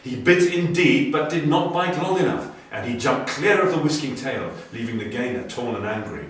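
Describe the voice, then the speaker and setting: A man reading a story dramatically, moving between hushed and emphatic delivery. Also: medium pitch, animated, moderate pace. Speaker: story reader. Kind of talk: expressive storybook narration. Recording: very echoey room, distant, faint noise.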